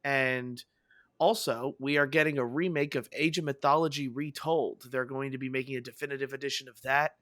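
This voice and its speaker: A man hosting a casual gaming podcast, his voice soft and hoarse from having lost it, rambling enthusiastically with fillers. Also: mid-range voice, fairly even intonation, a little fast, no room sound, clean studio sound. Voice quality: soft, breathy. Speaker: podcast host.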